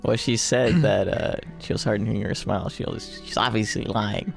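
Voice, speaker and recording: A man's voice: lowish voice, steady tone, normal speed, creaky, faint music behind. A man reading a visual novel aloud and adding casual, sweary commentary as a gamer. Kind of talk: read-aloud narration with casual joking commentary.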